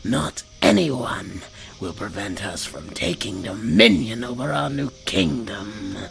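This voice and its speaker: A man's voice: fairly low pitch, sing-song, slow pace, gravelly, over music, heavily acted. A man playing an ancient, menacing demon in an audio drama, making grandiose, gloating threats. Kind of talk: audio drama villain acting.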